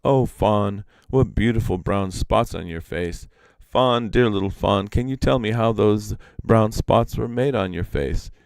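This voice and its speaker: Male reader as storybook trickster, eager and envious, pitched up, pleading, exclamatory, close dry sound. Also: measured pace, creaky, a little mellow, clean tone, dry recording. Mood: coaxing.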